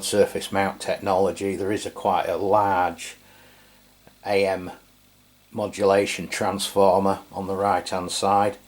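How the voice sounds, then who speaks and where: A man's voice: deep voice, darkish tone. A middle-aged man with an English accent, giving a casual product review in a hesitant, rambling, matter-of-fact way. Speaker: radio review channel host.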